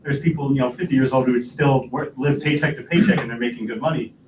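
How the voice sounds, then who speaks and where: A man's voice: mid-range voice, steady tone, quick pace, background noise. A young man from Rensselaer, New York, on a youth panel, speaking thoughtfully with some hesitation. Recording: echoey room, distant mic.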